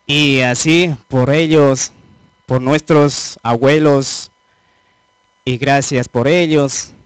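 A young man from Cañar province with an Ecuadorian highland accent, speaking in halting, simple phrases as a guest singer on a handheld mic. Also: fairly even intonation, clean tone, no room sound. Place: small indoor TV studio. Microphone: handheld microphone.

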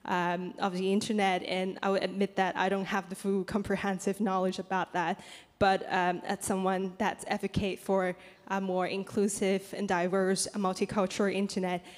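A young woman whose first language is Cantonese, reading a prepared statement from her laptop into a handheld microphone at a conference, with frequent pauses and ums. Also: medium pitch, level tone. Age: young adult. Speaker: youth panel speaker. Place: large conference hall. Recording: reverberant conference hall.

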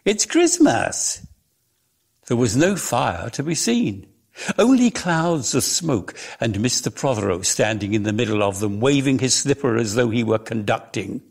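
A man giving a dramatic reading of a literary story in a measured, theatrical way, lingering on his words. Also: mid-range voice, animated, vocal fry, husky. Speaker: reader.

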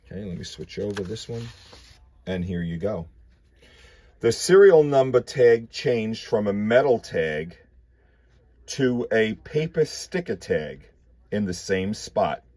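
A man talks in a slow, methodical way, walking through the details one step at a time with a few fillers. His voice sits a little low, and the sound is dry and close with no room echo. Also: sing-song, dark tone. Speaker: ham radio channel host. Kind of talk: casual show-and-tell explanation. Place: home radio shack full of equipment.

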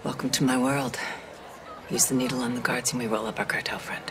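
An actress playing a composed middle-aged CIA station chief with an American accent, speaking in a clipped, businesslike way in a military game. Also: female voice, extremely low pitch, animated, brisk, creaky, background noise, full character voice. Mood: low, businesslike.

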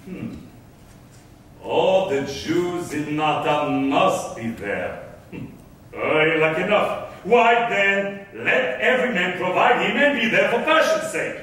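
A man's voice: sits high, unhurried, sharp tone. An actor playing a wealthy, shrewd, self-serving merchant and father in theatrical classical stage verse. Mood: scheming. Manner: theatrical, scheming. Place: theatre stage with music stands. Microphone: far camera. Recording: echoey hall, recorded from far off.

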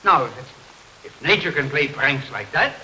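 A man's voice: medium pitch, animated, bright, forceful tone, low-quality recording. Earnest older man, hissy 1930s film. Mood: emphatic, explaining. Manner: halting, emphatic explaining with repeated phrases. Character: earnest older island missionary explaining dark superstitions. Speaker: film actor. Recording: hissy 1930s film soundtrack under rain. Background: rain and thunderstorm ambiance.

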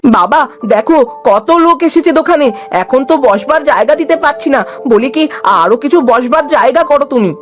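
A woman's voice: sits high, animated, normal speed, faint music behind. A woman voicing an eager, helpful young village boy in a cartoon, polite and earnest. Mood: busy, welcoming.

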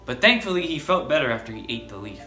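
A young man with a mid-range voice reads aloud for preschoolers as a story time host. He speaks deliberately and addresses the children directly. Light music plays behind him. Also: medium pitch, big pitch swings, sharp tone. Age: young adult. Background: background music.

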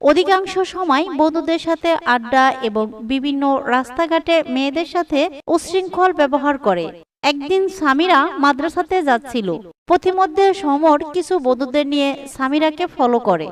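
A woman's voice: high voice, moderate pace, distant mic. A woman narrator reading a scripted moral story for a cartoon.

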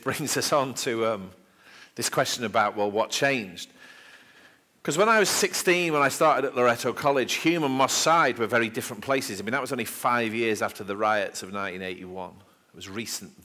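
Man in his fifties, rambling storyteller, slightly low voice, bright tone, headset mic. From England, Manchester area. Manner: rambling, self-deprecating anecdotes with many asides; gestures with his hands while talking. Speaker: speaker at a public talk. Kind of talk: storytelling talk before an audience. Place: small lecture room indoors.

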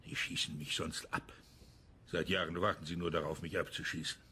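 An actor playing an older, bitter pensioned security official in a radio crime drama, aggrieved, coarse and blunt. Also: male voice, sits low, little pitch movement, normal speed, sharp tone, slight background noise. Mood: aggrieved, insistent.

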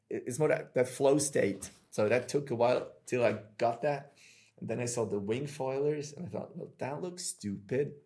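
A Swiss man in his thirties hosts a casual podcast from a small room at home. He tells his stories in an animated, chatty way. The sound comes through earbuds on a video call. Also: medium pitch. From Switzerland. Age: 30s. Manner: chatty, animated storytelling with hand gestures. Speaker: podcast host.

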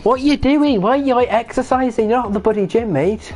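A hoarse man on a gaming livestream, swearing and ranting at his opponent while he plays. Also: very high voice, fast talker, a little mellow, faint music behind. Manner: foul-mouthed, ranting, insulting an opponent. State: hoarse, voice going. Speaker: gaming streamer. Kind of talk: casual gaming livestream commentary.

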